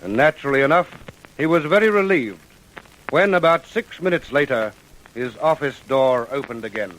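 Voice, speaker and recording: A man narrating a scripted radio drama in a measured storytelling manner. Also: medium pitch, lively intonation, fairly bright tone, a little hiss or hum, rough recording.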